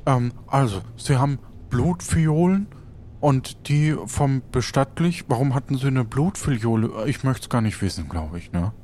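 A man's voice: medium pitch, a little slow, warm tone. A man improvising in a roleplay audio adventure, speaking hesitantly and stammering as he repeats his words. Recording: faint background noise.

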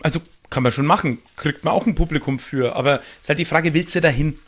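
A man's voice: fairly high pitch, fast talker. A man chatting on a podcast as a guest, explaining things reflectively in loose, winding sentences. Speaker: podcast guest, improv stage performer. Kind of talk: casual podcast conversation.

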